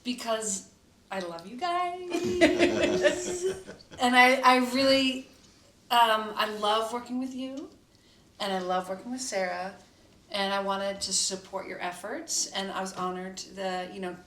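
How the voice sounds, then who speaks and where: Actress guest, modest and giggly, echoey room, light hiss. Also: female voice, fairly high pitch, slow. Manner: warm, modest, laughs easily. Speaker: cast member. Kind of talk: casual roundtable chat. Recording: roomy, faint noise.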